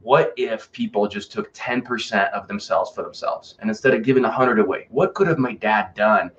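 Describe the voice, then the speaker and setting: A man's voice: fairly low pitch, moderate pace, fairly bright tone, muffled recording. A man from Russia talking casually and emphatically as a coaching guest on an interview show, telling personal stories and often checking in with informal asides. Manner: informal, emphatic, with rhetorical check-ins and buddy slang. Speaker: podcast guest, fitness and life coach.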